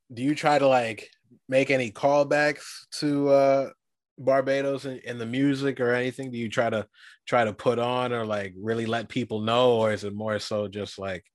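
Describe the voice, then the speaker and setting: A man from Guyana hosting a casual interview, talking in a relaxed, rambling way with lots of fillers. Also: mid-range voice, normal speed, no room sound, studio quality. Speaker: podcast host.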